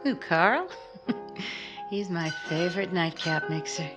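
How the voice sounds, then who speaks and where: Low-voiced, worldly barfly woman acted by a woman. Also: sing-song, takes it slowly, music in the background. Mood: flirty, breezy. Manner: teasing, probing, direct. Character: worldly, forward single woman at a bar. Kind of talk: 1950s radio drama acting.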